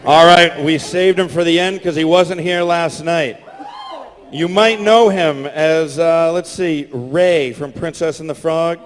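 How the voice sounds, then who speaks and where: Guy hyping up a convention crowd as host, highish voice, big reverby hall. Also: unhurried. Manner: hyping the crowd, projecting loudly. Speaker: panel host and creator. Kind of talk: live convention stage announcing. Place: large convention hall stage. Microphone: stage microphone through PA.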